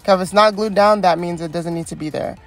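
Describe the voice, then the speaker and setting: Young female beauty vlogger, congested and fry-heavy, friendly how-to voice-over with soft music under it. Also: on the low side, fairly even intonation, quick pace. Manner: chatty and encouraging, frequent ums. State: congested from a cold. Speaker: wig stylist and beauty vlogger. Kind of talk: step-by-step tutorial voice-over.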